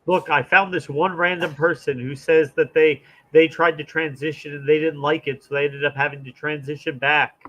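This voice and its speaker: A man with a nasal, somewhat high-pitched voice co-hosting a comedic podcast. He delivers dry, deadpan sarcasm, often feigning naive questions. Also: normal speed, few pauses, highs cut off. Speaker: podcast co-host. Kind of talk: casual comedic chat.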